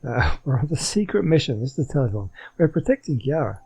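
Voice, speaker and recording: Very low, husky woman gamer. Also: sing-song, fast, mellow. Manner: musing aloud, reacting to the game. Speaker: gaming streamer. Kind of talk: casual let's play commentary.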